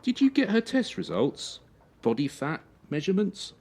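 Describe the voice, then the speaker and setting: An actor playing a cold, patronising gymnastics coach in a radio drama, officious and insinuating. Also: male voice, sits high, very animated, moderate pace, darkish tone. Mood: callous.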